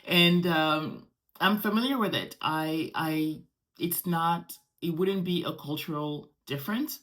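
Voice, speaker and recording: A woman's voice: sits low, takes it slowly, a bit bright. A middle-aged woman from Morocco whose first language is French, talking conversationally in a casual solo vlog as its host, often repeating phrases for emphasis.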